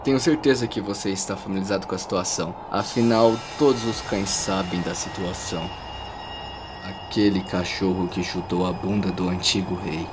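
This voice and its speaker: A man's voice: low voice, fairly even intonation, moderate pace, background noise, close to an everyday voice. A man with a Brazilian accent voicing a menacing, vengeful muscular dog villain, theatrical and foul-mouthed, in an over-the-top cartoon dub. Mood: smug. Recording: loud music behind voice.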